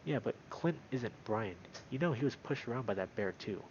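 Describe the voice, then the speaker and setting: A man voicing a young male otter in a visual novel, worried, gentle and pleading. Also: mid-range voice, animated, normal speed, muffled recording, a little hiss or hum, natural voice. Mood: soothing. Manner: soft, cautious, pleading. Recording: music behind the voice.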